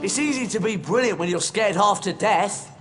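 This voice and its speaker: A male actor playing a nervy young schoolboy wizard in a video game, excitable in manner. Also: sits high, normal speed, close to an everyday voice. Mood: excited. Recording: game audio with music behind.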